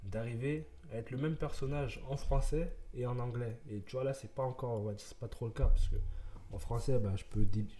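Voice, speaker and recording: A man's voice: medium pitch, a little fast, warm tone. A young man chatting casually while he codes on a live stream, rambling and muttering to himself between thoughts. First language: French. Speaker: streamer, web developer. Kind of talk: casual live-coding chat.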